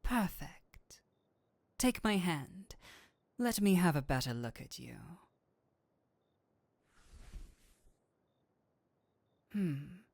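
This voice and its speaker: A man plays a seductive, teasing incubus demon speaking straight to the listener in an audio roleplay. His delivery is theatrical and very slow, lingering over words with a flirtatious lilt. The voice sits close and dry on the mic. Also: sits high, big pitch swings, gravelly, no room sound, heavily acted. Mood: curious, probing. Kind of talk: scripted roleplay audio drama, addressing the listener.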